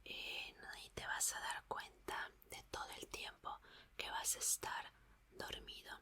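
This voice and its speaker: A woman doing an ASMR roleplay as a gentle, reassuring scientist, whispering soothingly and softly repeating her words. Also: a little slow. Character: gentle, reassuring female scientist repairing an android.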